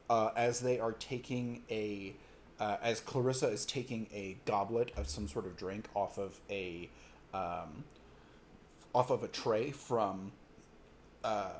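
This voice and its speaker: An unhurried man runs a tabletop role-playing game, describing scenes in a halting, conversational way. His voice is middle-pitched for a man, with some background noise around it. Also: darkish tone. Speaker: game master.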